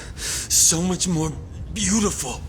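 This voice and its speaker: An actor playing a desperate, self-deluded young crime heir turned ruthless politician in a video game cutscene, with a strained, grandiose, halting delivery. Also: male voice, high voice, a bit bright, a little hiss or hum. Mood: pleading, grandiose.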